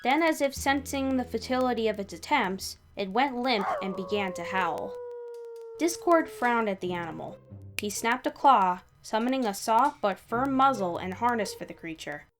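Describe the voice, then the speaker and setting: A woman reading fan fiction aloud as an expressive third-person narrator with dramatic pauses. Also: fairly high pitch. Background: background music.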